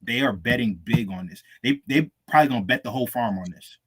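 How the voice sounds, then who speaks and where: Laid-back man with an even mid voice. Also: mid-range voice, fast, breathy edge. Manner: laid-back slangy delivery, calls listeners "fam". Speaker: co-host. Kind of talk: casual podcast chat reading news.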